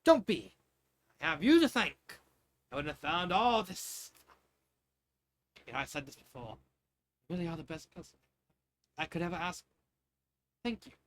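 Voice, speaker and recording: A man's voice: a little high, big pitch swings, moderate pace, pauses often. A man voicing an earnest, enthusiastic young male cousin in an amateur fan fiction reading. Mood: earnest, grateful.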